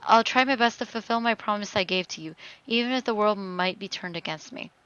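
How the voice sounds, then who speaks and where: A woman voicing a grieving, defiant teenage daughter in a dramatic story reading, halting and emotional. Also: medium pitch, a bit bright, smooth, no echo, highs cut off, close to an everyday voice. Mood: tearful. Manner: halting, pleading, rising to heated outbursts.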